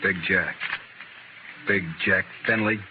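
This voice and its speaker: An actor playing a cool, courteous but dangerous gunfighter with dry understatement in a 1950s western radio drama. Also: male voice, sits low, little pitch movement, slow pace, dull audio. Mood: wry, relaxed. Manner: dry, polite, understated menace. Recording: very noisy, thin 1950s radio recording.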